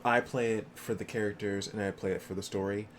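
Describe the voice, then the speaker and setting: A man's voice: lowish voice, fairly even intonation, brisk, a little hiss or hum. A man livestreaming a game, chatting casually with viewers and commenting as he plays.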